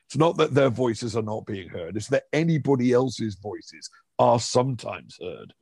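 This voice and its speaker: A man's voice: medium pitch, lively intonation, normal speed, gravelly, darkish tone, husky, no echo. Man, middle-aged, from Liverpool, casual commentary, rambling, wry, dry close recording. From Liverpool, England. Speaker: comedian guest.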